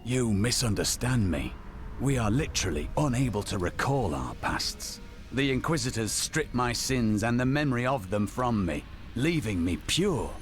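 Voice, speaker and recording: An actor playing a solemn, zealous templar warrior in a video game, speaking formally and earnestly. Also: male voice, mid-range voice, very animated, normal speed, soft background music, close to an everyday voice. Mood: solemn, guarded. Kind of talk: video game character dialogue.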